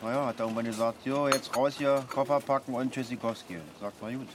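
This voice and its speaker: A man acts a middle-aged Berlin father in a naturalistic radio play. He talks colloquially and haltingly, an easygoing rambler at an average pitch. Clear street noise sits behind him. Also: steady tone, close to an everyday voice. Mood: reminiscing.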